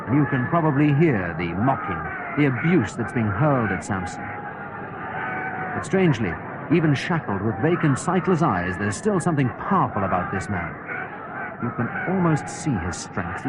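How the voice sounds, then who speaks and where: An actor playing an observant radio reporter giving a dramatized live on-the-spot commentary. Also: male voice, mid-range voice, very noisy, natural voice. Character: on-the-spot male radio reporter, hushed commentary turning to panic. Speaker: roving reporter.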